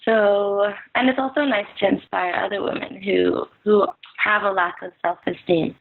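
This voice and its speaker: Young Filipino-born woman, podcast guest, hesitant and halting, phone-quality audio. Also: medium pitch, steady tone, vocal fry. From Philippines. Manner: soft-spoken, hesitant with many fillers and restarts. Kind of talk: casual interview answers. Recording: tinny phone-line sound.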